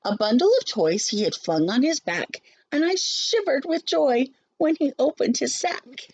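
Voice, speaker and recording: A woman schoolteacher reading rhyming children's verse aloud with an expressive, sing-song storybook delivery. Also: sits high, unhurried, husky, lo-fi sound.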